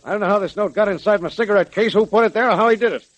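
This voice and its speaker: A man acting a blustering gangland boss of a mining town in an old radio play. His voice sits high and snarls out slangy threats. The sound is dry, like a vintage thirties broadcast. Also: rapid-fire, no room sound, natural voice. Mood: panicky bluster. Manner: snarling, slangy tough-guy talk. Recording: vintage 1930s radio recording.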